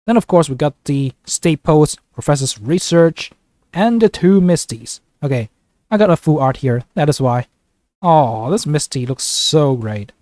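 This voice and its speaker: A man's voice: a little high, lively intonation, unhurried, darkish tone, no room sound, studio quality. A man with a flu, casually commentating a card game video in an upbeat, chatty, joking way. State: congested from a flu. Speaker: gaming YouTuber.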